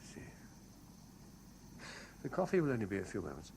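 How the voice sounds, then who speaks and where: An actor with a British accent playing a lonely, self-doubting bachelor in a 1970s radio drama, hesitant and solicitous. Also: male voice, fairly low pitch, sing-song, moderate pace, gravelly, darkish tone. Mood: concerned. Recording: noisy 1970s radio broadcast recording.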